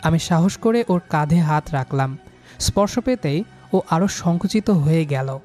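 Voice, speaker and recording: A man's voice: fairly high pitch, expressive, a little slow, a little mellow. A man narrating a romantic story in the first person, dramatically, with an earnest and emotional delivery. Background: background music.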